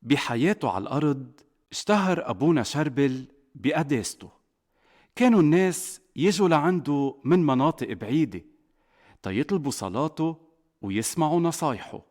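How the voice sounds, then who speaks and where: A man with a Lebanese accent narrating a scripted children's story as a father would, in an expressive way. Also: a little high, normal speed, pauses often. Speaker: narrator, a father telling a saint's life to children.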